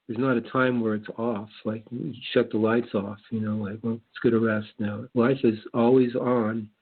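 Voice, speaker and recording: Man reflecting haltingly over a phone-like line. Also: mid-range voice. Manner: halting, repetitive, meandering. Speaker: spiritual talk panelist. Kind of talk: casual spiritual reflection in group talk. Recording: thin, tinny phone-line sound.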